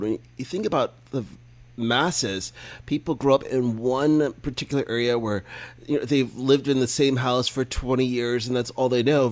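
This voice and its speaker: A man hosting a business podcast, interviewing a guest in an enthusiastic, conversational way. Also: medium pitch. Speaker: podcast host. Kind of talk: casual interview.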